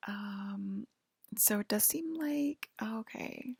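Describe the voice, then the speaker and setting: A woman playing a female alien abductor in an ASMR roleplay, whispering in a breathy, halting, gently official clinical manner. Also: mid-range voice, animated, dry recording, clean studio sound, full character voice. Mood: calm, clinical.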